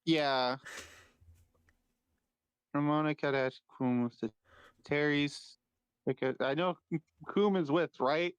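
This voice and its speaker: A man's voice: on the high side, steady tone, slow, long pauses, smooth, no room sound, lo-fi sound. Casually sweary man, dry sound. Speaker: co-host of a reaction stream. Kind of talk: casual chat, reacting to videos.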